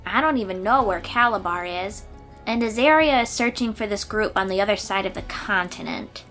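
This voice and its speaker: A woman's voice: medium pitch, moderate pace, a bit bright, natural voice. A woman playing a confident, eager young sea-dweller warrior in a fantasy audio roleplay, speaking expressively and informally to the listener. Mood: thoughtful, serious. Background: music.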